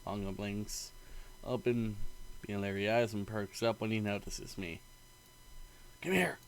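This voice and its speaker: A man reading a visual novel aloud in an expressive, playful narrating style. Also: lowish voice, unhurried, a little hiss or hum, poor audio.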